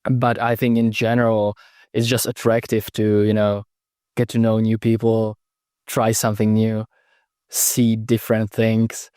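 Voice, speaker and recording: A young man with a Czech accent talks in an even, low voice that barely rises or falls, pausing and restarting his sentences as he answers. His tone is smooth and clean, recorded dry and close with no room sound. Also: no room sound. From Czech Republic. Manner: hesitant, many restarts and fillers. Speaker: guest. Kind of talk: casual interview answers.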